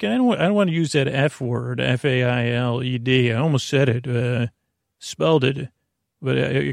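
A man hosting a podcast in a meandering, halting monologue, often trailing off mid-thought with a creaky, gravelly voice. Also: medium pitch, no echo, clean studio sound. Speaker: podcast host.

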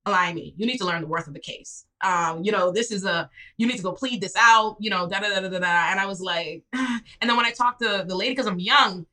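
A Bahamian woman in her twenties, a public defender telling stories in a casual podcast chat, her voice leaping high when she acts out scenes. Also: brisk, hardly any pauses. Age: 20s. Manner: lively, swings into high excited re-enactments. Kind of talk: animated storytelling in casual chat.

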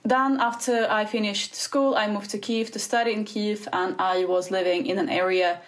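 Earnest woman, halting speech. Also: mid-range voice, fairly even intonation. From Ukraine. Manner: earnest, halting, with many restarts and fillers. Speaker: guest, spokesperson for a Ukrainian organisation. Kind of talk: interview answers.

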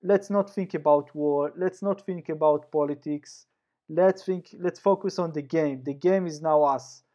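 A man's voice: on the high side, measured pace, dark tone. Israeli-accented man, passionate, lo-fi sound. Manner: passionate, repetitive restarts, many uh fillers. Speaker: guest sports journalist. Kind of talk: podcast interview answer.

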